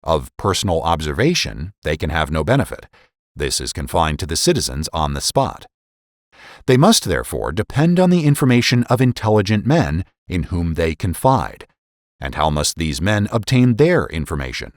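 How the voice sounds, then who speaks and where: A low-voiced man narrates historical essays in a dramatic, oratorical way. His pitch swings widely as he stresses phrases with formal deliberation. The recording is close and dry, with no room echo. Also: very animated, moderate pace. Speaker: podcast host and narrator.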